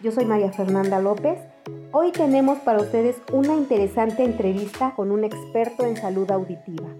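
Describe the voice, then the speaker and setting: A woman's voice: a little high, fairly quick, music in the background. A woman hosting a podcast, introducing and questioning a guest in a polished, welcoming way. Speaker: podcast host. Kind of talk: hosting an interview.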